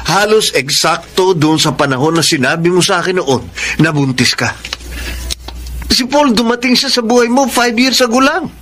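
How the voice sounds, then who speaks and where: A man's voice: high-pitched, lively intonation, moderate pace, fairly bright tone, slight background noise. An actor playing a remorseful, earnest man in a Filipino radio drama, with a melodramatic, imploring manner. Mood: insistent. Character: remorseful, pleading man wanting his estranged child. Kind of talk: Filipino radio drama acting, sometimes narrating.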